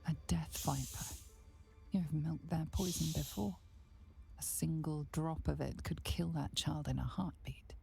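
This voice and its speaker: An actress playing a solemn, watchful narrator in a fantasy video game, measured and ominous. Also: female voice, low voice, steady tone, vocal fry, mellow, faint music behind, heavily acted. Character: solemn, watchful inner narrator woman with dark relish.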